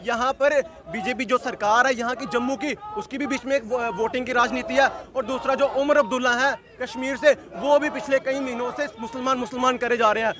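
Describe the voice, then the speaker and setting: Very high male voice, insistent, repetitive, political street interview, outdoors. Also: fairly even intonation, fairly quick, few pauses. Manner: insistent, repeats phrases for emphasis. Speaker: protester giving an interview.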